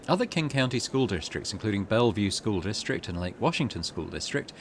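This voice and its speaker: A man's voice: on the low side, sing-song, a little fast, slight background noise. A man giving casual let's-play commentary as a gaming host, thinking aloud and reading on-screen text out.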